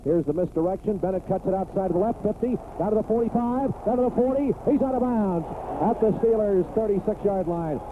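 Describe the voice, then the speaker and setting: An American man calling football play-by-play on the radio in clipped phrases that build toward excited calls. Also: high voice, brisk, few pauses. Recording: tinny, noisy 1980s AM radio broadcast.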